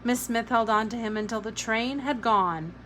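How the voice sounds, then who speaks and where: A woman narrating a novel aloud in an expressive storybook reading style. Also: fairly high pitch, normal speed, a little hiss or hum.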